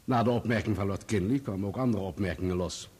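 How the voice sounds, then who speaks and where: An actor in a radio play playing a defensive journalist on the witness stand, giving formal, guarded answers. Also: male voice, lowish voice, quick pace, a little mellow, husky. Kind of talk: courtroom radio play acting.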